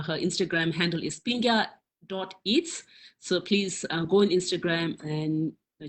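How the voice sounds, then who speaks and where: A woman host introduces the guest casually. She speaks hesitantly, with frequent "uh" fillers. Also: lowish voice.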